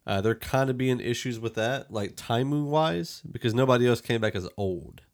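A man's voice: medium pitch, moderate pace. A man hosting a casual movie review podcast, talking in an opinionated, conversational way. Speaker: podcast host.

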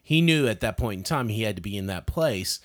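A man's voice: fairly low pitch, lively intonation, a little fast. A man on a podcast panel debating a movie casually, with emphatic interjections. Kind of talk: casual movie review chat.

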